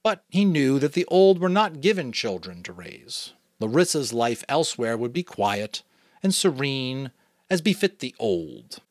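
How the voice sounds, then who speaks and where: Man from new england, dramatic audiobook reading, very lively pitch, dry close sound. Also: a little slow, dry recording. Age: adult, a father. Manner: expressive, varied delivery. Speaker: audiobook reader.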